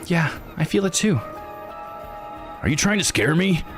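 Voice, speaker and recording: Man putting on an excitable high-pitched young woman. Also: unhurried, faint music behind. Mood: playful, teasing.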